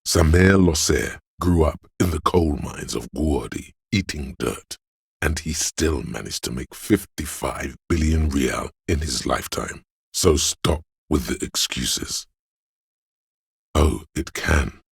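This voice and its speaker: A man playing a slick, money-obsessed inner voice in a video game, a cajoling, flamboyant hype man with streetwise swagger and a gravelly delivery. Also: slow, no echo, heavily acted. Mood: scolding. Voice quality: gravelly, very deep. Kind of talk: theatrical video game character monologue.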